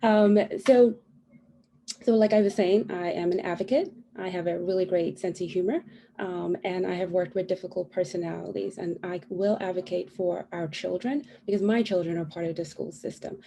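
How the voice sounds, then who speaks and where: Warm-voiced mom on a video call. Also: female voice, lowish voice, steady tone, normal speed, creaky. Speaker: school council candidate. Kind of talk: candidate forum answer over video call. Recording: video call with faint kid noise. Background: children fighting.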